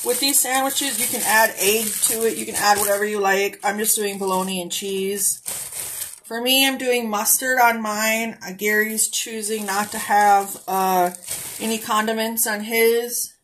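A woman's voice: mid-range voice, steady tone, a little slow, a bit bright, a little hiss or hum, low-quality recording. A woman casually narrating a cooking demo in her home kitchen, chatty and homey, talking straight to her viewers. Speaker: cooking vlogger.

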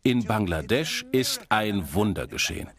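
Animated low-voiced man presenting. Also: unhurried, a crowd in the background. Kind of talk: animated documentary lecture to an audience.

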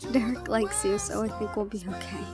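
A woman voicing a shy but stubborn young gorgon in an intimate romantic audio roleplay, sometimes dropping into breathy, whispery asides. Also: mid-range voice, fairly even intonation, a little fast, natural voice. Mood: tender, shy. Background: music.

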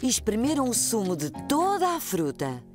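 A woman with a European Portuguese accent narrating a children's cartoon in a calm, clear storytelling way. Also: on the high side, normal speed, music in the background.

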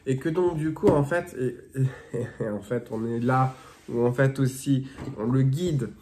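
A man's voice: medium pitch, unhurried, warm tone, a little hiss or hum. Rambling man with a cold, filler-heavy chat. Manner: rambling, full of fillers and restarts. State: has a cold. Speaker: spiritual guidance vlogger. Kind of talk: casual chat, then reading aloud from a dream dictionary. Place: small indoor room with bare walls.

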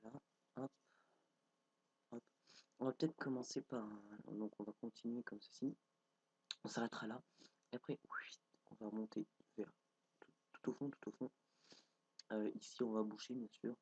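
A man's voice: fairly low pitch, fairly even intonation, moderate pace, long pauses, mellow, slightly rough, poor audio. Man with a cold, mumbling commentary. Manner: thinks aloud, frequent fillers and hesitations. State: has a cold, stuffy nose. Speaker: gameplay video creator. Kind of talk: casual commentary while building in a game.

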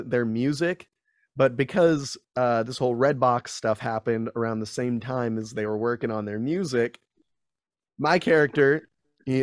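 A man's voice: mid-range voice, no room sound. Young male actor, casual interview guest, talks in loose restarts, dry close-miked sound. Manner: chatty, rambling, frequent restarts and fillers. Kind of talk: casual interview chat over video call.

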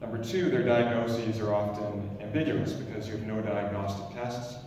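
A man in his thirties delivers a loud, energetic startup pitch, often restarting his phrases. His voice sits a little low. He is heard from far off in a big echoey lecture hall, with a steady hiss of background noise. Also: moderate pace. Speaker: emergency doctor pitching a startup. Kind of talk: pitch presentation. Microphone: stand mic, recorded from far camera.

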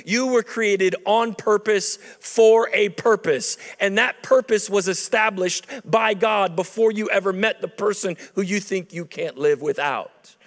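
A man's voice: sits high, measured pace, hardly any pauses. A man in his thirties preaching a chapel sermon into a handheld mic, conversational with emphatic peaks. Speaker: chapel speaker. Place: large dark auditorium stage at a lectern. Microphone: handheld microphone. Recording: long reverb of a large hall.

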